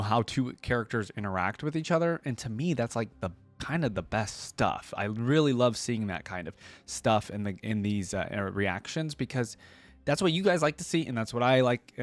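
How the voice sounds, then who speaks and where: A man's voice: medium pitch, dry recording. Casual man reacting, very dry sound. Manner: informal, slangy, rambling. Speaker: reaction video host.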